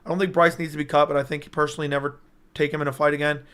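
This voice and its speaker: A man's voice: a little high, flat delivery, rapid-fire, fairly bright tone, clear, resonant tone. A young man hosting a casual livestream and reading viewer comments, his strained voice cutting in and out as he rambles with hype. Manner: hyped, rambling, talks straight to chat. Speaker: MMA YouTuber hosting a livestream. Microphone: personal streaming mic.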